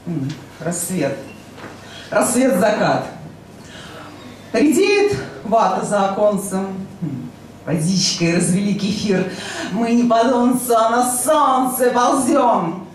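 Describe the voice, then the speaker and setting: A woman's voice: mid-range voice, expressive, takes it slowly. A woman in her thirties reciting poetry on stage in a playful, theatrical way. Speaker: poet. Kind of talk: expressive live poetry recital. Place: rock club stage, indoors. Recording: very echoey club, noisy, distant pickup.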